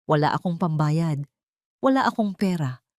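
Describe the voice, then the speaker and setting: A woman's voice: low voice, lively intonation, moderate pace, a little mellow, no room sound, clean studio sound. A woman playing a weary, anxious young mother with a pleading manner in an audio drama. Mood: bewildered, anxious.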